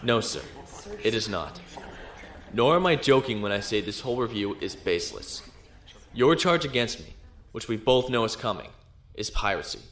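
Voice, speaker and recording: An actor playing an assured, defiant space-navy lieutenant who argues formally in a science fiction audio drama. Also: male voice, fairly low pitch, big pitch swings, moderate pace, long pauses, a bit bright. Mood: confident, insistent. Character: defiant young male space-navy lieutenant defending himself. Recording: roomy echo.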